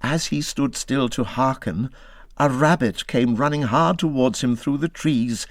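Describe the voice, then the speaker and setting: A man reading a classic children's animal story as scripted narration, in a dramatic, expressive style that moves between hushed and urgent. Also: medium pitch, measured pace, no echo. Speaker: audiobook reader.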